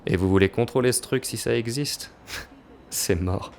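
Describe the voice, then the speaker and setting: An actor playing a jaded, cynical crime boss with a blunt, dismissive manner in an audio drama. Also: male voice, medium pitch, unhurried, vocal fry, darkish tone, a little hiss or hum. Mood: scornful. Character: jaded, cynical male crime boss, former elite fighter.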